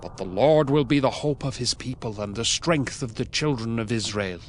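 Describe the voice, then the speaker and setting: A man's voice: mid-range voice, moderate pace, faint music behind. A man narrating a dramatized audio Bible in a solemn, declamatory manner.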